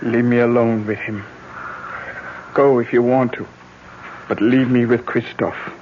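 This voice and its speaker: An actress playing a vengeful older voodoo priestess in a classic radio drama, speaking slowly in solemn, incantatory tones. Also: female voice, a bass voice, fairly even intonation, slight background noise. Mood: grave, resolute. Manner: slow, incantatory, portentous. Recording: dull lo-fi radio recording.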